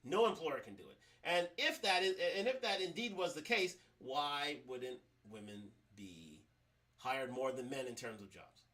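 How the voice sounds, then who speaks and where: A man hosting a livestream, commenting on played clips in a halting way with many restarts. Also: mid-range voice, expressive, fairly bright tone. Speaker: livestream host.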